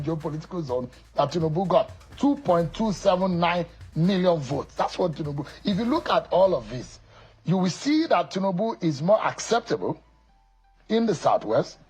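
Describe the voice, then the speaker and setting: A man's voice: fairly high pitch, a little mellow, breathy edge. A man interviewing a political guest, reading out vote figures and challenging his claims.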